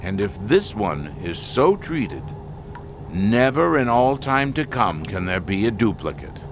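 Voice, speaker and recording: Low-pitched, animated male scientist, insistently lecturing. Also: unhurried, background noise. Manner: emphatic, lecturing, precise. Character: insistent, argumentative male expedition biologist defending his specimen. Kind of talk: audio drama acting.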